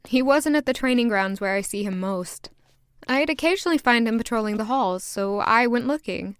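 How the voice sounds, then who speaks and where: A woman's voice: on the high side, animated, moderate pace, clean tone, close to an everyday voice. Woman as a wistful young castle maid narrating. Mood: musing. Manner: reflective, descriptive. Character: wistful, proud young castle maid telling her story. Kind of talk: first-person scripted drama narration.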